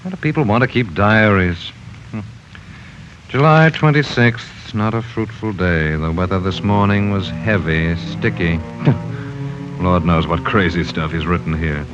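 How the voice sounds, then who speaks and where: An actor playing a curt, dismissive doctor in a 1940s radio drama. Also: male voice, low voice, unhurried, over music. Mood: sardonic. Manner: curt, sardonic. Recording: noisy muffled 1940s radio.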